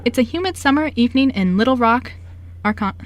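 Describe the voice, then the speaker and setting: A woman's voice: fairly high pitch, expressive, a little fast, a little hiss or hum. A young woman doing amateur scripted reading as a narrator and poetry reader.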